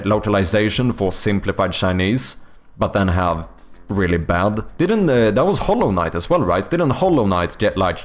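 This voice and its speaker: Swedish man chatting on a livestream. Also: on the low side. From Sweden. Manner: thinks aloud, many fillers and restarts. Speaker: game developer streamer. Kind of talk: casual livestream chat answering viewers.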